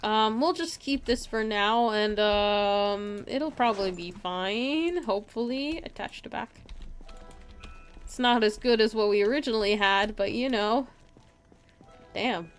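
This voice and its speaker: A woman's voice: medium pitch, unhurried, soft background music. A woman streaming a video game live with casual, chatty commentary, often muttering to herself and to game characters.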